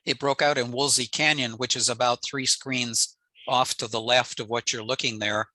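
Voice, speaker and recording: A man's voice: medium pitch, fairly even intonation, normal speed, bright, forceful tone, no echo, rough recording. An older American man giving an informal slide presentation in a matter-of-fact, explanatory way. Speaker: presenter, amateur radio operator. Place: indoors on a video call.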